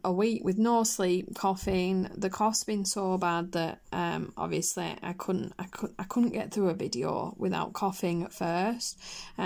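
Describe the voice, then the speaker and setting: Hoarse croaky lady chatting slowly while she colours, husky, keeps restarting. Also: on the low side, a little mellow. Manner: rambling, with many restarts, fillers and coughs. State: hoarse and croaky after a chest infection. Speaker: colouring channel host. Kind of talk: casual chat while colouring.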